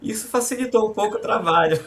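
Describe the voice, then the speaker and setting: Animated male podcast host, fairly high voice. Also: fast, a little hiss or hum. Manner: animated storyteller who acts out quoted dialogue. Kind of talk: conversational podcast hosting.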